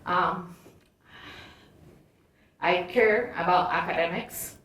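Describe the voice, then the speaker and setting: A woman introducing herself as a candidate at a forum, speaking hesitantly in short, halting phrases. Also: on the low side, fairly bright tone. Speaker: school board candidate. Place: indoor public forum room. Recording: very echoey room, distant mic, faint noise.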